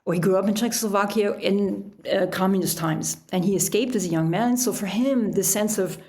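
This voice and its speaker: A middle-aged American woman answers interview questions in a conversational way. Her mid-pitched voice has a noticeable creak, and she peppers her explanations with "you know.". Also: fairly quick, darkish tone. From United States. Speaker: podcast guest, author and sailor.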